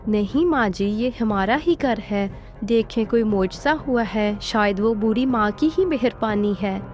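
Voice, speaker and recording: Woman as a mellow, eager young daughter-in-law. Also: medium pitch, unhurried, natural voice. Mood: reassuring. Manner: respectful, eager. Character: good-hearted, grateful young daughter-in-law. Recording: faint music behind the voice.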